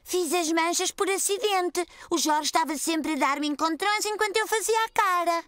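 A woman voicing a friendly little preschool boy in a dubbed cartoon, playful and quick with animal noises. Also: very high-pitched, fairly bright tone, dry recording, clean studio sound.

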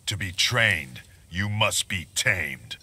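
A man's voice: sits low, animated, slow pace, bright, forceful tone, slight background noise, heavily acted. A man playing a stern, vengeful ninja warrior in a video game, grave and formal. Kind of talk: video game character dialogue.

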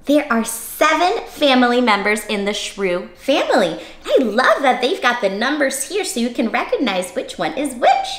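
A young woman hosting an interactive storybook read-aloud for small children, talking in an exaggerated, animated way and putting questions to her listeners. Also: fairly high pitch, normal speed, hardly any pauses. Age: young adult. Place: small furnished room indoors. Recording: roomy, echoey home room sound.

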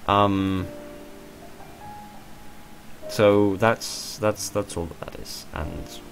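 A man in his twenties with a hoarse voice, talking in a rambling, self-deprecating way about his channel and stumbling over repeated words from a small room at home. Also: lowish voice, fairly even intonation, very slow, faint music behind, rough recording. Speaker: channel creator. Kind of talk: rambling personal monologue.

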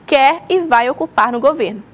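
Young female studio news reporter, high pitch, steady and explanatory. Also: normal speed. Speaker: political reporter.

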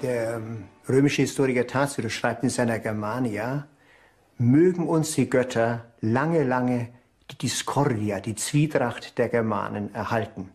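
A man speaking as an interviewed history expert, explaining in a deliberate, hesitant way. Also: mid-range voice, a little mellow, faint music behind. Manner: hesitant, deliberate, repeats words for emphasis.

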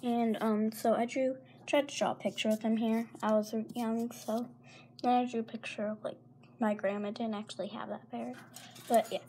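Teen girl w sore throat, kinda high voice, apologizing a lot, filming on her phone at home. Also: little pitch movement, moderate pace, mellow, a little hiss or hum. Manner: rambling, apologetic, often drops to a whisper. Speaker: vlogger. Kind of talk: casual pet vlog. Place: carpeted home room, indoors. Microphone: handheld phone camera.

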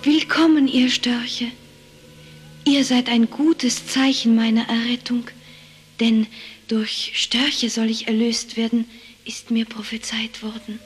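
A woman's voice: a little high, little pitch movement, slow, faint music behind. A woman voicing a sorrowful enchanted maiden turned night owl, solemn and plaintive, in a children's puppet play. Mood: breathy welcome. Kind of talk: German children's puppet theatre acting.

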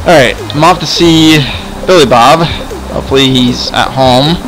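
A man's voice: medium pitch, moderate pace, rough recording. A man improvising in-game roleplay chat in a hesitant, stammering way, often repeating phrases. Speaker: host of a gaming roleplay series. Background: background music.

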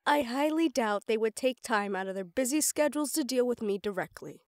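A woman's voice: on the high side, animated, fairly quick, no room sound, clean studio sound, natural voice. Sarcastic, defiant goddess, acted by a woman. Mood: firm, teasing. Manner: sarcastic, teasing, stubborn. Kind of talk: audio roleplay drama acting.

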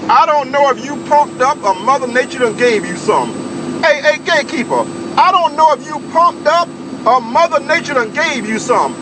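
A Southern US man bantering on CB radio in an animated, teasing way, repeating himself. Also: extremely high pitch, normal speed. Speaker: CB radio operator. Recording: very noisy CB radio transmission.

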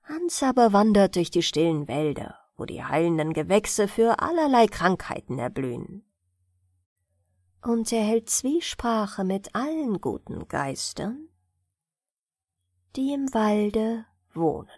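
A woman narrating a fairy tale as a bedtime audiobook, in an expressive, measured storytelling manner. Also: mid-range voice, no room sound, clean studio sound.

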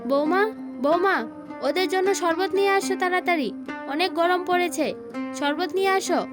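Woman voicing a bossy, high-voiced older mother-in-law. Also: fairly even intonation, normal speed, music in the background. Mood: fussing, commanding. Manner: commanding, insistent. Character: stern, bossy older village mother-in-law, favours her daughter. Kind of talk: cartoon family drama dialogue.

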